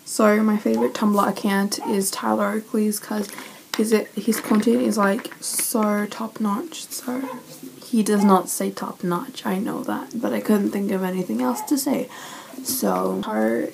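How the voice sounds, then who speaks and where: Mellow-voiced teen girl rambling to webcam. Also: medium pitch, steady tone, measured pace, creaky, slight background noise, rough recording. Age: teens. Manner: rambling, self-deprecating, mumbling. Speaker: vlogger. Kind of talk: casual vlog chat. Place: bedroom, indoors.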